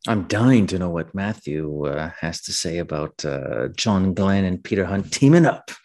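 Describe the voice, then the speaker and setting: A man's voice: deep voice, expressive, unhurried, a little mellow. A man hosting a casual film discussion podcast. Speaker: podcast host.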